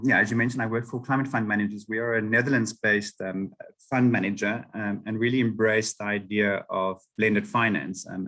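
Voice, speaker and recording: A man's voice: on the low side, low-quality recording. South african man presenting on a webinar. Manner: steady explanatory delivery with frequent ums. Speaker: webinar panelist.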